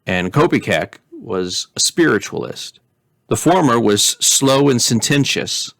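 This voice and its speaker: A man reading a story aloud as a storyteller, in a measured, expressive way. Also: lowish voice, creaky, dry recording. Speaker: storyteller, pastor.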